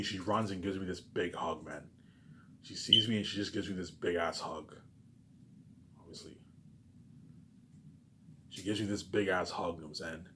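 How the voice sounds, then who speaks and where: A man's voice: low voice, a bit bright, slight background noise. A man delivering a rambling, casual monologue, repeating himself emphatically, swearing and often tacking on questions for agreement. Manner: repetitive, emphatic, swearing, frequent tag questions.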